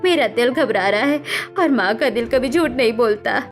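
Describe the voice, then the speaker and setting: A woman's voice: on the high side, expressive, normal speed, faint music behind. A woman voicing a worried, doting elderly mother-in-law in a cartoon story, with a pleading, wailing delivery.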